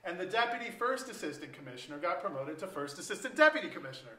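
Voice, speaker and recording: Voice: mid-range voice, sing-song, quick pace, bright, forceful tone. An actor playing a jokey, rambling middle-aged bureaucrat in a staged comedy reading. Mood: jokey, rueful. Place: museum auditorium stage. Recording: roomy, distant mic, faint noise.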